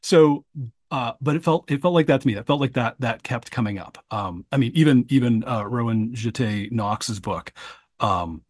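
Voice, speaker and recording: A man, co-hosting and producing a book podcast, talking casually with frequent restarts and fillers. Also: mid-range voice. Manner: halting, many restarts and fillers.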